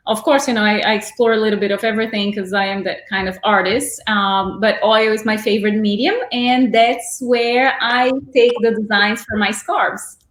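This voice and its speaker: A woman's voice: medium pitch, steady tone, moderate pace, hardly any pauses, a bit bright, echoey room. A woman from Brazil, an artist chatting casually on a live video call, bubbly and full of fillers. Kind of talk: casual live-stream chat.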